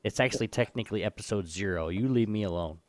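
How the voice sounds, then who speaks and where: A man's voice: on the low side, quick pace, a little hiss or hum. A man co-hosting a casual live gaming show with playful banter. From North America.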